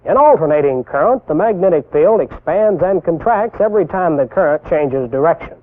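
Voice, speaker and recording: Young American man, animated high-pitched instructor. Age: young adult. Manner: didactic, deliberate, poses rhetorical questions. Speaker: military instructor presenting a training film. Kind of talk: scripted instructional narration. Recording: tinny band-limited 1960s film soundtrack.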